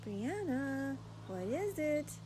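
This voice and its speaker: A woman's voice: sits high, very animated, slow pace, heavy background noise, full character voice. A woman playing a teasing, skeptical schoolgirl in an audio horror drama, breezy and dismissive. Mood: casual, concerned.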